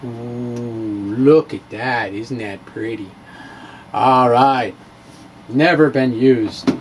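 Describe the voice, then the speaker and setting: A man's voice: mid-range voice, extremely slow, a little hiss or hum. A man giving a dramatic reading of his own blog with casual asides, wry and playful with theatrical emphasis.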